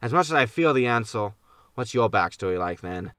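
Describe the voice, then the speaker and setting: A man's voice: on the low side, animated, a little fast, a bit bright, smooth, no room sound. A man playing a grumpy, self-excusing spaceship captain in a sci-fi comedy audio drama, sardonic and grumbling. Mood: wistful grumbling.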